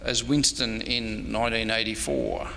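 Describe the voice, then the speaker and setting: Creaky-voiced man presenting in a reverberant hall. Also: on the low side, animated, measured pace. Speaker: presenter, old-time radio historian. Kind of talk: informal slide-show talk. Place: indoor convention presentation room. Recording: reverberant hall, faint noise.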